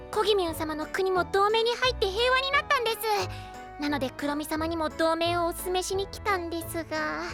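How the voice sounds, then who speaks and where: A man playing a small, childlike boy knight in a fantasy audio drama, polite, eager and easily flustered. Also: very high voice. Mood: polite, earnest. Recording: voice over background music.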